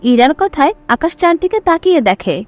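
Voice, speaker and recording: A woman's voice: high voice, very animated, moderate pace, darkish tone, soft background music. A woman narrating a scripted audio story as its storyteller.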